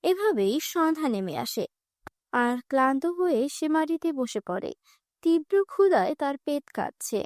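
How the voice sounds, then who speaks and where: A woman's voice: sits high, lively intonation, normal speed, a little mellow. A woman narrating a children's fairy tale from a script.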